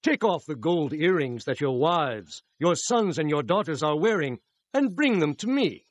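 A man's voice: on the high side, sing-song, measured pace, no echo. A man playing a priest who leads his people, giving declamatory orders in dramatized scripture, in a slightly muffled recording. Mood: commanding, proclaiming.

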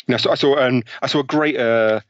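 A man's voice: medium pitch, fairly quick, dry recording. A British man speaking as an interview guest, explaining ideas conversationally with examples. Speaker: interview guest, author on behavioral science. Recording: slightly dull, lo-fi sound.

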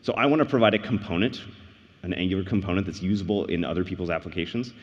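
A man's voice: low-pitched, fast. A man in his thirties giving a tech conference keynote on a large stage, speaking earnestly and explaining step by step. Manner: earnest, explanatory, rises on key points. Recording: dull livestream audio in a reverberant hall.

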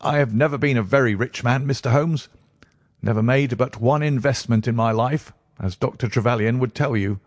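Man playing a reedy, quavering, nervous man, pitched high. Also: normal speed, no echo, clean studio sound, natural voice. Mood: nervous, fussy. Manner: nervous, fussy. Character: jittery, frightened, self-important older fat man. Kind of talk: audiobook character acting.

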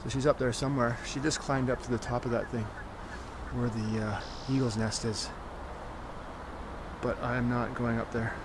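A man in his forties hosting a casual exploring vlog, talking excitedly on location into a handheld camera mic. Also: medium pitch, normal speed. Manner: enthusiastic, exclaiming as he explores. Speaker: urban explorer vlogger. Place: outdoors on a ship deck, then inside an abandoned ship. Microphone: handheld camera mic, selfie framing. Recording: outdoor ambient noise on camera mic.

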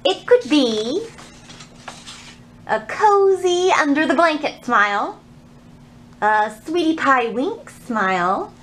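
A woman's voice: high-pitched, slow pace, pauses often, slight background noise. A woman in her thirties leading a children's storytime, speaking expressively and straight to young listeners. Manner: expressive, animated, addressing children directly. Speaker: children's librarian hosting storytime. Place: indoors in a library.

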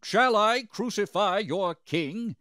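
A man's voice: deep voice, very animated, normal speed, no echo, clean studio sound. A man playing an uneasy, exasperated Roman governor in a dramatised scripture reading, declaiming and questioning with command. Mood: loud, challenging.

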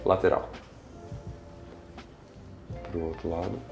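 Casting: young Brazilian male chiropractor, low gravelly voice, reassuring, guiding a patient in a roomy space. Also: little pitch movement, slow, a little mellow. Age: young adult. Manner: reassuring, checking in often. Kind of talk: instructing a patient during treatment, explaining to camera. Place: small indoor treatment room with large windows. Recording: roomy echo, faint music behind.

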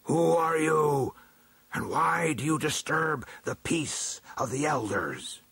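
Storybook snow dwarf elder, male and ancient, played by a man: stern, imperious, slow declaiming, raised husky voice. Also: a little high, lively intonation, fairly bright tone, dry recording, voice pushed up, full character voice. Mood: stern challenge. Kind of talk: storybook character acting.

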